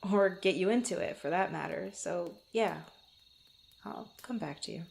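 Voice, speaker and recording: Woman acting a hesitant, creaky-voiced young adventurer. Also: on the low side, slight background noise. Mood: tender, relieved. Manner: halting, stammering, soft-spoken with breathy asides. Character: flustered young female adventurer, tender but teasing and proud. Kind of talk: intimate ASMR roleplay voice acting.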